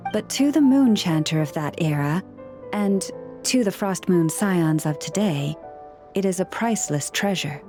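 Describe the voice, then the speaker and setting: A woman voicing a calm, composed young woman who guides others with the help of animal helpers, in a video game cutscene. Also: fairly low pitch. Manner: calm and reassuring.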